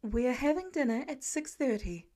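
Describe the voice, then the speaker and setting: A woman voicing a patient, gently firm adoptive mother in a story reading, with measured delivery. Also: high-pitched, animated.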